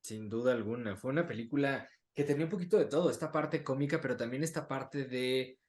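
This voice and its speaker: A man's voice: medium pitch, fast talker, darkish tone. A man hosting a casual interview in a conversational style. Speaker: interview host. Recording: roomy, echoing sound.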